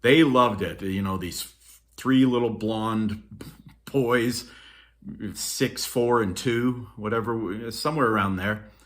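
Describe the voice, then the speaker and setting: A man's voice: fairly low pitch, takes it slowly. A middle-aged man from Norway telling childhood memories in a rambling vlog, talking into a phone on a selfie stick, with many ums and restarts. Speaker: vlogger. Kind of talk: casual storytelling vlog.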